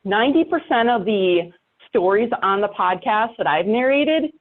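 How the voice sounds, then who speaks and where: A woman's voice: mid-range voice, expressive, normal speed. Woman chatting casually over a phone-like line. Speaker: podcast host and writer. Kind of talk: casual chat. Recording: thin, phone-like call audio.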